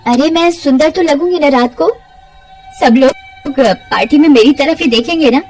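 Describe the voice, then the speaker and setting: Lady doing a vain bossy young salon customer, high voice, story cartoon style. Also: normal speed, darkish tone, soft background music. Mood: impatient, vain.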